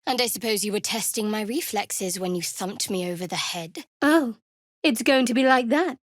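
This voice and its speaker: A woman playing a guarded, sardonic young cleric of a dark goddess in a fantasy video game, speaking in a dry, measured way. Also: mid-range voice, animated, dry recording, lightly acted. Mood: teasing, sardonic. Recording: dry close studio recording.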